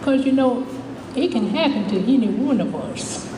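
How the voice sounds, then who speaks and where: A woman's voice: on the high side, animated, moderate pace, close to an everyday voice. A woman playing a chatty, good-humored older homeless widow, telling her story in a folksy, rambling stage monologue. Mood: wistful, resigned. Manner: folksy, rambling, repeats "you know", chuckling. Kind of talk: dramatic monologue, storytelling to a live audience. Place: indoor stage performance, distant microphone. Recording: echoey hall from far off, faint noise.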